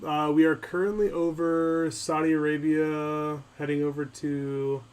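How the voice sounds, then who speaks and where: A man hosting a casual podcast chat, speaking haltingly with many pauses and ums. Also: on the high side, flat delivery, clean tone. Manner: hesitant, halting, lots of ums. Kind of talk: casual chat over a call.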